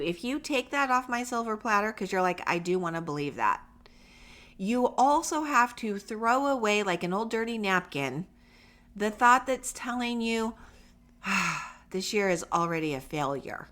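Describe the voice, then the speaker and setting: A woman's voice: a little high, sing-song, moderate pace, bright, forceful tone. A middle-aged woman hosting a podcast, getting over a cold, speaking to listeners in a reassuring, conversational and emphatic way. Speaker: podcast host for homeschool parents. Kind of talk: encouraging solo podcast talk, addressing listeners directly.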